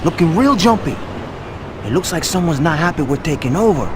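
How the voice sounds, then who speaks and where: High-pitched, streetwise gang henchman. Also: sing-song, fairly quick. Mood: tense, commanding. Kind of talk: dramatic video game cutscene acting. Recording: noisy outdoor city traffic ambience.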